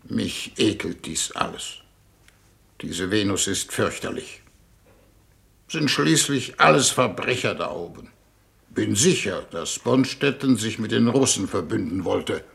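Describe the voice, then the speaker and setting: An actor playing a pompous, world-weary older foreign minister in a 1950s German radio drama, with languid, deliberate delivery. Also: male voice, lowish voice, animated, vocal fry, fairly bright tone, exaggerated voice. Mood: weary disgust.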